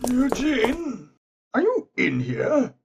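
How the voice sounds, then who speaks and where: An actor playing a flustered, whiny, nervous man in a comic audio play, with a halting and fretful delivery. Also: high voice, huge pitch swings, heavy background noise, heavily acted. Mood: anxious, searching.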